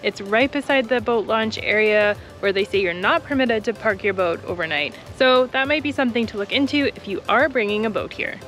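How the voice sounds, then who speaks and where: A young woman vlogger talking to a handheld camera outdoors in a wooded park, giving a clear, practical tour of a campground with friendly tips. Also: on the high side, a little fast, hardly any pauses, a bit bright, faint music behind. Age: young adult. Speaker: travel vlogger touring a campground. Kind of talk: informative park tour narration. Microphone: camera held at arm's length.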